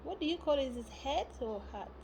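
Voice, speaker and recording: A woman from South Sudan giving a spiritual talk, often pausing and searching for words. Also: sits high, animated, a little mellow, slight background noise. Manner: halting, searching for words with many fillers. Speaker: spiritual teacher.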